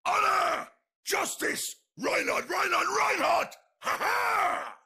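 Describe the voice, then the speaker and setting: An actor voicing a boisterous, larger-than-life video game hero with a raspy, gravelly voice. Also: male voice, very high-pitched, expressive, slow pace, pushed and bright, full character voice. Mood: boisterous, triumphant.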